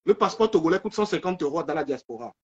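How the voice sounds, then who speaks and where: A man's voice: fairly high pitch, animated, fast talker, darkish tone, slightly rough, lo-fi sound. A man from Togo joining a live debate, insistent and repeating his points.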